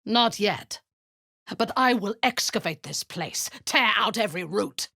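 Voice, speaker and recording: An actress voicing a ruthless drow woman commander who leads zealous raids, speaking in a cold, commanding voice with a husky edge that often sinks into a whisper. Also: medium pitch, animated, unhurried, noisy background. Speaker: game character. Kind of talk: video game voice lines.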